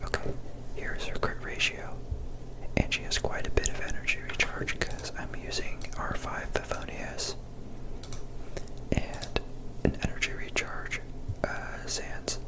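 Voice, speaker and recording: Slow whispered ASMR gaming voice. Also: low-quality recording. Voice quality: breathy unvoiced whisper. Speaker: gaming ASMR video host. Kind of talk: ASMR whispered gameplay commentary.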